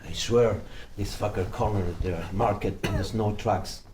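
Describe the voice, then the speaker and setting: Suspicious, bitter gangster male actor, lowish voice, off-mic in a noisy live script reading. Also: steady tone, unhurried, close to an everyday voice. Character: distrustful, bitter, foul-mouthed male mobster. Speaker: actor at a table read. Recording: distant mic, clear background noise.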